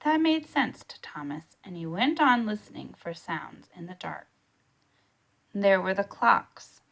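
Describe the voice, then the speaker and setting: A woman reading a children's storybook aloud in a deliberate, expressive way. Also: medium pitch, vocal fry. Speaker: storybook reader.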